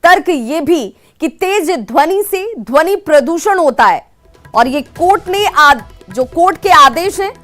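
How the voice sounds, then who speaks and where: A woman's voice: high voice, expressive, unhurried, fairly bright tone, faint music behind. A woman anchor presenting TV news in an urgent narrating style.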